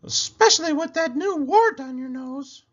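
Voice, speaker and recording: Man doing a very high-pitched lady llama, sing-song. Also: animated, normal speed, fairly bright tone, lo-fi sound. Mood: teasing. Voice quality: high falsetto. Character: helpful, teasing female llama neighbour. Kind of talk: children's picture book read-aloud.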